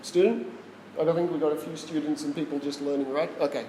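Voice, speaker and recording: A man's voice: a little high, fairly even intonation, quick pace, mellow, a little hiss or hum. A man with an Australian accent giving a casual tech talk to a small live audience, chatty and quizzing the room. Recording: roomy echo with long reverb.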